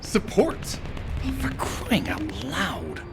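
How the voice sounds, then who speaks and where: A man's voice: medium pitch, wildly swooping pitch, drawn-out words, music in the background, exaggerated voice. A man playing a cocky, resentful super-soldier in a game cutscene, theatrical, sarcastic and scornful. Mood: exasperated, sarcastic. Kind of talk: scripted game cutscene acting.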